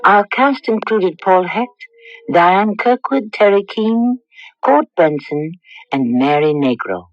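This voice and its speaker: A woman hosting a radio drama, narrating a script in a measured, formal manner. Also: medium pitch, expressive, no echo. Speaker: host and narrator. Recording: dull lo-fi radio recording over music. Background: music.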